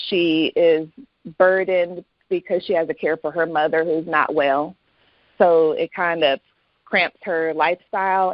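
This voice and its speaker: Woman guest explaining her work casually, kinda low voice, muffled lo-fi sound. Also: no echo. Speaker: guest author. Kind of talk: casual interview talk.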